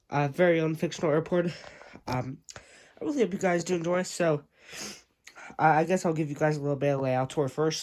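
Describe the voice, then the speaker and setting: A man's voice: on the high side, a little mellow. A young man with a congested, stuffy voice, narrating a casual video tour of his model airport, with frequent ums and uhs.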